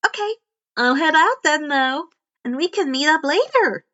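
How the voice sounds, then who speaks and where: A woman's voice: high voice, animated, normal speed, pushed and bright, pitched above the performer's own voice. A woman voicing a cocky but kind teenage boy vigilante in a read-aloud story, casual and flippant, in a muffled recording. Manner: flippant, matter-of-fact, casual. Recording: dull, muffled lo-fi home recording.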